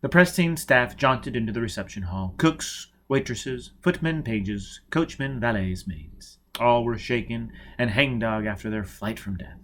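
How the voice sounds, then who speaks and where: Expressive male audiobook narrator. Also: mid-range voice, a little slow, hardly any pauses. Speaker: audiobook reader. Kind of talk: scripted novel narration.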